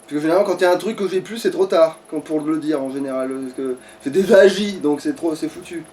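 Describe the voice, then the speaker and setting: A man's voice: fairly high pitch, fast talker, darkish tone. A man giving casual let's play commentary, thinking aloud in a grumbling, foul-mouthed way. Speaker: gaming streamer. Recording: distant mic with background noise.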